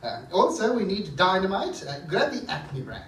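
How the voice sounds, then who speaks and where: A man's voice: sits high, sing-song, normal speed, fairly bright tone. Man playing a fussy, swooping comic evil doctor. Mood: scheming, fussy. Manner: hesitant, fussy, theatrical. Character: fussy, petty male evil doctor villain. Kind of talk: live stage comedy acting. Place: theatre stage, live performance. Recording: echoey, distant, noisy theatre recording.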